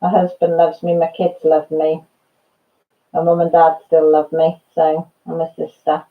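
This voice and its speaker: A woman's voice: sits low, completely flat delivery, a little slow, phone-quality sound. A Welsh woman in her fifties, a channel host chatting casually with viewers, calling them by name and laughing through her stories. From Wales. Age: 50s. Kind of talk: casual live chat and storytelling.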